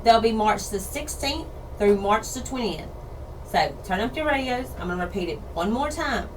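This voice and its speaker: A woman's voice: on the high side, lively intonation, normal speed. Chatty woman, losing her voice. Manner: chatty, laughs easily, repeats details. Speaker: radio show co-host. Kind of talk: casual radio chat. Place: radio studio. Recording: distant mic with background noise.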